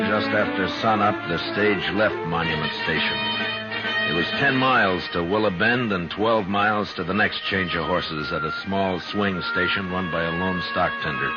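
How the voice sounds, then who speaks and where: Wary, terse western lawman, male actor, very low voice, muffled vintage radio drama. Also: close to an everyday voice. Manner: measured, terse. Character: calm, wary, authoritative male frontier marshal. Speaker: lead character and narrator. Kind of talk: 1950s radio western acting and narration. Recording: muffled old radio recording, loud music.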